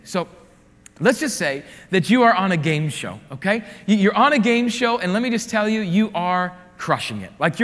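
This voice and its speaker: Brief: man preaching a chatty sermon, high voice, echoing sanctuary sound. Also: fairly bright tone. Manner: conversational, repeats phrases for emphasis. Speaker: pastor.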